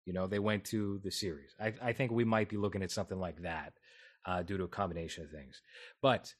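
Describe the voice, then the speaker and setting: A man's voice: low-pitched, fairly even intonation, brisk. A man hosting a casual film podcast, giving sardonic, film-buff recommendations. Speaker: podcast host.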